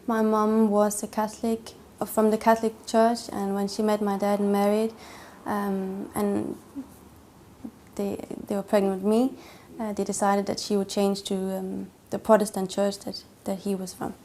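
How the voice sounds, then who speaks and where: Young woman, interviewee, hesitant and full of fillers, medium pitch, faint hiss behind her. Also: monotone, normal speed, dark tone. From Denmark. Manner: hesitant, many fillers and restarts. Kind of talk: personal testimony interview.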